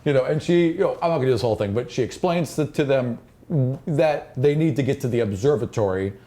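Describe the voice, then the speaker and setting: Male game master, mid-pitched and mellow, narrating fantasy scenes descriptively with offhand asides. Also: animated, quick pace.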